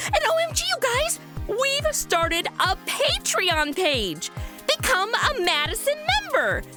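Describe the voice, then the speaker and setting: A woman's voice: very high voice, wildly swooping pitch, takes it slowly, over loud music. A woman speaking as a show host in a scripted, peppy influencer style.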